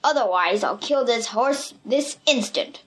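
A brutish male pirate bully from a children's storybook, acted out by a woman narrator. He is greedy and menacing, and he barks his threats and demands in a raised, forceful shout. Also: on the high side, lively intonation, a little slow. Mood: menacing, angry.

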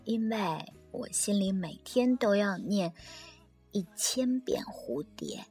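A woman voicing a very old male golden retriever in a storybook, sly but resigned, with a breathy, whispery, slow and wistful delivery. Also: mid-range voice, animated, a little mellow, soft background music. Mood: hushed pride.

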